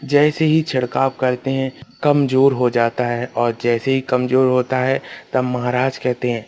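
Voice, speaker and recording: A man's voice: mid-range voice, flat delivery, a little slow, darkish tone. A man narrating a cartoon moral tale as a scripted storyteller.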